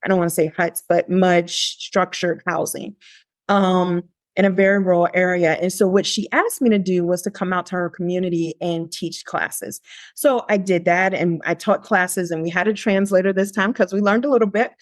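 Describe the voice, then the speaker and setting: A woman guest on a podcast, telling a personal story in a lively, conversational way, often pausing on "like". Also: fairly low pitch, no room sound, clean studio sound. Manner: animated, lively quoting of her younger self; frequent "like" fillers and restarts.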